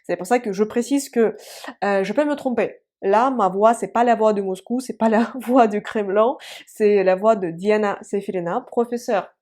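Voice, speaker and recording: A woman in her thirties, a native Russian speaker, talks her students through an online lesson in a chatty, unhurried way. Her voice is mellow and warm, and she often pauses with little fillers while she thinks. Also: mid-range voice. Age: 30s. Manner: chatty, hesitant with many "euh" fillers. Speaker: Russian teacher. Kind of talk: casual explanatory online lesson.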